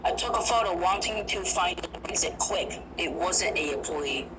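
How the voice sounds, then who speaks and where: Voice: mid-range voice, expressive, moderate pace. A narrator telling a first-person horror story, hesitant and prone to restarts. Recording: noisy recording.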